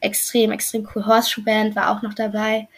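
A young woman from Germany in her twenties recounts travels in a relaxed interview. She talks freely and loosely, full of fillers and self-corrections, at an ordinary middle pitch. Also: fairly quick. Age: 20s. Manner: chatty, many fillers and restarts. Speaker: returning exchange student. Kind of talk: casual interview.

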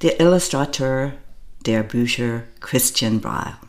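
An older American woman with a very deep voice speaks slowly and deliberately, her accent clear as she hosts a podcast. She reads a prepared monologue in an even, measured way. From United States. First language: English. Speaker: podcast host.

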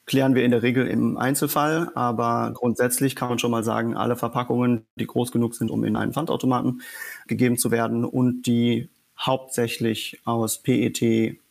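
A startup co-founder from Germany explains his business as a podcast guest. His voice is gravelly with vocal fry, his pitch sits mid-range, and he talks fluently with an occasional filler. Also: level tone, normal speed.

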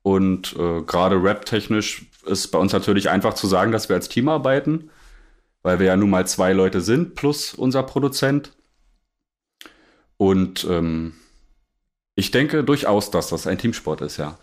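A man's voice: low-pitched, a little slow. A man speaking casually as a musician guest on a podcast. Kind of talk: casual conversation.

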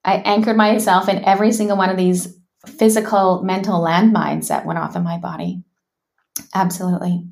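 A woman's voice: medium pitch, fairly even intonation, normal speed, gravelly, darkish tone. Candid, reflective woman from Canada. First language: English. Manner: frank, thoughtful, many "like" and "you know" fillers. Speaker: guest, life coach. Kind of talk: reflective personal storytelling.

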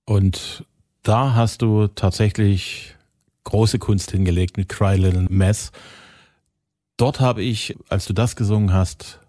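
A male interviewer asking questions in a relaxed chat, often repeating words as he searches for them. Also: lowish voice, slow, no room sound, clean studio sound. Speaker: radio interviewer.

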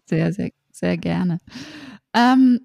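A woman's voice: fairly low pitch, drawn-out words, gravelly, a little mellow, no echo, studio quality. A woman from Berlin hosting a podcast interview, chatty and upbeat, full of fillers and restarts. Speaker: podcast host. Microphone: remote call, host side.

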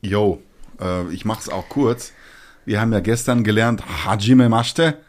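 A middle-aged man with a fairly low voice hosts a casual podcast. He explains things patiently like a teacher. He keeps slipping in wry, ironic asides. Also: measured pace. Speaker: podcast host. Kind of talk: casual chat.

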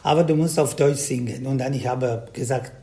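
A man from South Tyrol with an Italian accent, a singer answering interview questions in halting German full of fillers. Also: mid-range voice, dark tone. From South Tyrol, Italy. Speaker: Schlager singer, interview guest.

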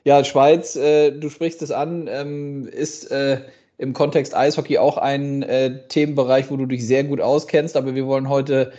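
A man's voice: mid-range voice, level tone, measured pace, dark tone. A man hosting a conversational interview, on a slightly lo-fi recording. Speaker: interviewer, podcast host.

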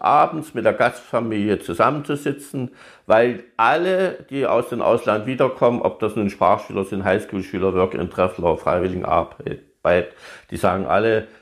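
A man working as a study-abroad advisor, hosting an advice podcast and explaining things in a chatty way, speaking directly to students. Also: lowish voice.

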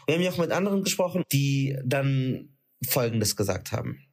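A man's voice: medium pitch, expressive, a little fast, noisy background. A man in a casual conversation, chatting freely and slipping in English words. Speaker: interviewee.

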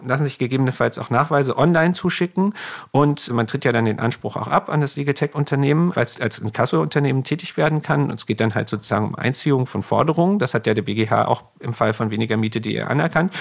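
A man's voice: medium pitch, fast talker, creaky. A man being interviewed as a guest, explaining legal topics at length while thinking aloud with fillers.

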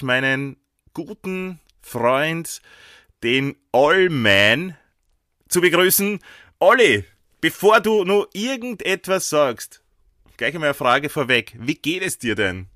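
A man with an Austrian German accent co-hosting a casual podcast, a little hoarse from a sore throat, talking in a chatty, playful way with plenty of fillers. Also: fairly high pitch, very animated, unhurried, sharp tone, no echo, studio quality. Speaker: podcast co-host.

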